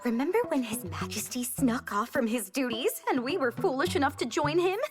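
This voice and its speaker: Lively, high-pitched young-sounding female castle attendant. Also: fairly quick, over music. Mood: playful. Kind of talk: video game cutscene acting.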